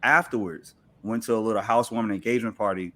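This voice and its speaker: A man's voice: on the low side, fairly even intonation, fast, sharp tone. An American man from Virginia chatting casually as a podcast guest, slangy and joking. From Virginia, United States. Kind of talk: casual chat.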